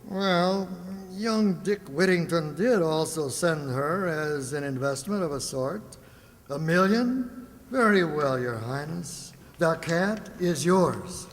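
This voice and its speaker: A man's voice: fairly high pitch, lively intonation, takes it slowly. A man playing a jovial merchant sea captain and doting father in a children's radio play, exclamatory and genial. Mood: courteous. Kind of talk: old-time children's radio play acting. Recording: reverberant hall with clear background noise.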